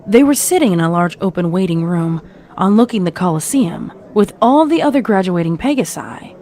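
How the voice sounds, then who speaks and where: A woman's voice: lowish voice, animated, a little fast, warm tone. A woman reading scripted narration of a fan-written horror story.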